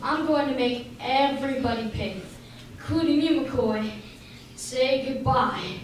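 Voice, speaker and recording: A woman's voice: sits high, expressive, measured pace. A woman acting as a bitter, vengeful male murderer in an amateur radio drama. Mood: bitter, menacing. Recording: very echoey hall, distant mic, faint noise.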